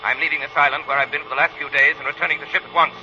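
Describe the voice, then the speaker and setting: An actor in a vintage radio drama playing a calm, invisible male crime fighter with a formal, authoritative, measured manner. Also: a little high. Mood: urgent. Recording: muffled, noisy old radio recording.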